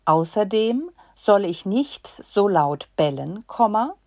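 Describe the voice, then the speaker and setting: A woman giving a slow dictation to learners, reading in short chunks and saying the punctuation aloud. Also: mid-range voice, sing-song, dark tone, no echo, studio quality.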